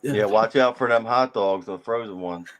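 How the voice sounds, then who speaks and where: Male, late 30s, fairly low voice, informal sweary conversation. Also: moderate pace. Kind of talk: casual profane group chat.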